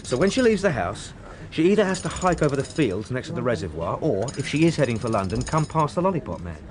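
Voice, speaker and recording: An actor playing a polite, deferential detective sergeant in a radio drama. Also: male voice, medium pitch, very animated, quick pace, a little hiss or hum, lightly acted. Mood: thoughtful. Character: loyal, patient male detective sergeant, family man. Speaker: police sergeant. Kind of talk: BBC radio drama acting.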